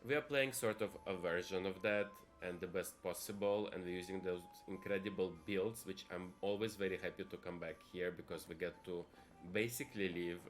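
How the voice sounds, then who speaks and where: Man, from Italy, very low voice, casual stream commentary, chatty, faint background music. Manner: chatty, thinking aloud.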